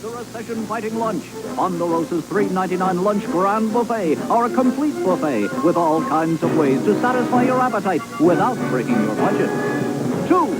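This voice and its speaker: A high-pitched male commercial announcer swings animatedly through a scripted advert. Loud music plays behind him throughout. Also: expressive, moderate pace, poor audio.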